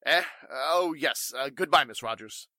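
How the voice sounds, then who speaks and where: A man's voice: mid-range voice, lively intonation, unhurried, bright, forceful tone, dry recording, clean studio sound. A man playing a flustered, evasive warehouse owner in 1940s radio drama style, stammering and speaking with stuffy formality.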